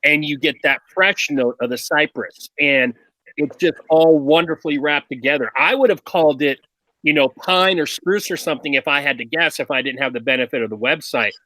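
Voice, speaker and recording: A man's voice: fairly high pitch, brisk, pushed and bright, no room sound, low-quality recording. A man hosting a casual review chat on a video call, enthusiastic, drawing out his phrases. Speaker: show host, reviewer.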